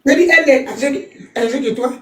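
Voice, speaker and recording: A woman's voice: high voice, brisk, a bit bright, a little hiss or hum. Older mother speaking with roomy echo. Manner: emphatic, repetitive, laughing through relief. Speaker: mother of the guest. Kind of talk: emotional call-in remarks.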